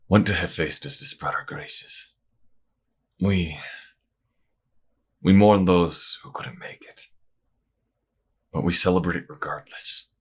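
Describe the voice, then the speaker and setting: An actor playing a caring priest and devoted partner in a fantasy audio drama, speaking gently and reassuringly. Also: male voice, very deep voice, breathy edge, full character voice. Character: Leo, caring priest, warm devoted partner and party host.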